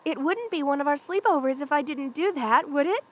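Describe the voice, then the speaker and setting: A woman's voice: high-pitched, animated, brisk. A woman voicing a playful, affectionate young lamia best friend in a casual, teasing ASMR roleplay. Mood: cheerful, affectionate. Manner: casual, teasing, conversational with soft chuckles. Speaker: voice actor in audio roleplay. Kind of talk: ASMR roleplay, one-sided friendly chat.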